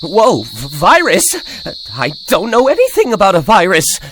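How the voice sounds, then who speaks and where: A man's voice: high voice, huge pitch swings, moderate pace, noisy background. A man playing a nervous, stammering, evasive researcher in a video game. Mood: panicked. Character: panicky, evasive male researcher.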